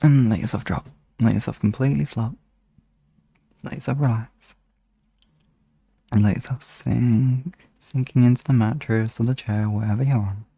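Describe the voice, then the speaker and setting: A man hosting a live ASMR hypnosis session, guiding relaxation in a soft, soothing, gently instructing manner. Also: medium pitch, steady tone, moderate pace, creaky, pauses often, slightly rough, dry recording.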